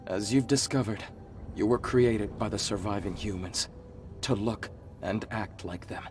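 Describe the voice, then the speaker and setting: An actor playing an ancient monster leader who was once human, speaking gravely, with measured, earnest persuasion in a video game cutscene. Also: male voice, on the low side, a little mellow, slight background noise, natural voice. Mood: regretful, solemn. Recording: background music under the voice.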